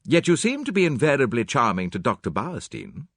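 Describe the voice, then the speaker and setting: An earnest gentleman, voiced by a male reader, loyal and easily flustered. He speaks tentatively with lively pitch, in a dry audiobook recording. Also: normal speed, no echo, full character voice. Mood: nettled. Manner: tentative, slightly awkward. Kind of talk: dramatised audiobook reading.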